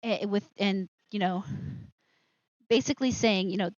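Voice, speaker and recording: A woman's voice: mid-range voice, measured pace, no room sound, muffled recording. A woman hosting a live show with casual commentary, rambling and interrupting herself with asides.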